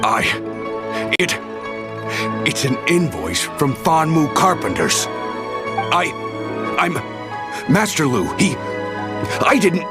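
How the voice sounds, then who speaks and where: Shifty game merchant, defensive, stammers, pitch jumping all over. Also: male voice, sing-song, slow pace, long pauses, over music. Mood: flustered. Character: nervous dishonest male merchant, middle-aged. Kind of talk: video game voice acting.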